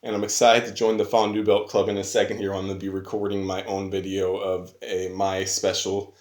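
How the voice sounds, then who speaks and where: Guy with a lowish voice doing a slangy excited review vlog, rambly, roomy echo off a phone. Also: a little fast. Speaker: online video host, reviewer. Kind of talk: casual unscripted product review vlog. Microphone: phone recording.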